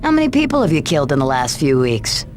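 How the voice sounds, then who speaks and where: An actress playing a tough, skeptical police lieutenant in a video game, with dry, pointed questioning. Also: female voice, very deep voice, huge pitch swings, fast talker, music in the background, heavily acted. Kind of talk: video game cutscene acting.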